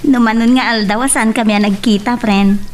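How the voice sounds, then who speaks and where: A woman's voice: on the high side, fairly even intonation, moderate pace, lightly acted. A woman playing an affectionate young woman caught between suitors in an Ilocano radio drama, with an Ilocano accent. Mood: sweet, teasing. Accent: Ilocano, northern Philippines.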